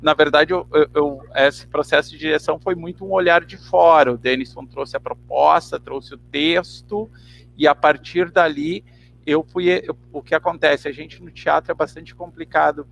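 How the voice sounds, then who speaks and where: A man with a southern Brazilian accent giving a casual personal account as an interview guest, in a halting, thoughtful way. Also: a little high, fairly even intonation, fairly bright tone. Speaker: theatre director, interview guest. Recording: clearly noisy, humming background.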